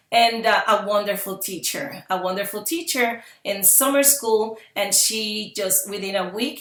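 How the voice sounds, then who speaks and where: A woman's voice: mid-range voice, fairly bright tone. A woman, giving an earnest public presentation as a parent advocate and repeating phrases for emphasis. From Puerto Rico. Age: 50s. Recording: roomy echo.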